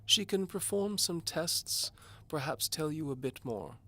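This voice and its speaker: A man's voice: on the high side, lively intonation, measured pace, sharp tone, voice pushed up, heavily acted. A man voicing a doddering, pompous old duke and amateur alchemist in an audio drama, fussy and formal. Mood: grudging. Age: older. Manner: pompous, formal, hesitant blustering. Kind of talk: audio drama character acting.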